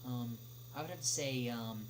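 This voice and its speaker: A man chatting casually on a livestream as he answers viewer questions, speaking haltingly with long pauses. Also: medium pitch. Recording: noisy livestream audio with clear hum.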